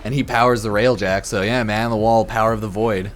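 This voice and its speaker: A man streaming casual, rambling commentary on a game, loose and halting in an informal, slangy, slightly tipsy way. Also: lowish voice, steady tone. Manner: informal, crude slang and swearing. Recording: music behind the voice. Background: background music.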